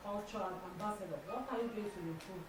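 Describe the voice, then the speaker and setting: A woman's voice: mid-range voice, fast talker. A woman interviewing a guest, asking brief, direct questions.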